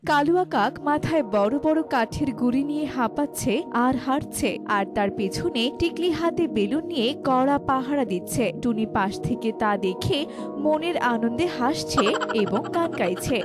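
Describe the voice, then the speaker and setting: High-voiced woman narrating a children's story. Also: animated, normal speed. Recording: music behind the voice.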